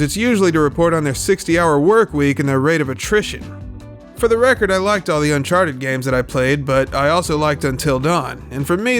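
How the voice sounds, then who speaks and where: A man's voice: on the high side, fairly bright tone. A man narrating a scripted, opinionated video essay in an emphatic, colloquial, sweary way. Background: music.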